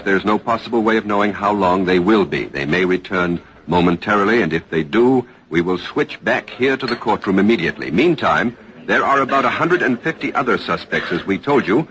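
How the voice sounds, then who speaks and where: A man's voice: lowish voice, a bit bright. A man playing an urgent live radio reporter, giving clipped, dramatic commentary in 1940s news style. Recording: faintly noisy old radio broadcast.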